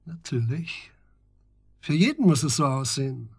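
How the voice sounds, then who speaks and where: Husky, earnest investigator. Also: male voice, medium pitch, animated, a little slow, darkish tone, dry recording, studio quality, heavily acted. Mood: pressing, worried. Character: grave, pressing male investigator confronting his friend. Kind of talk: German radio drama acting.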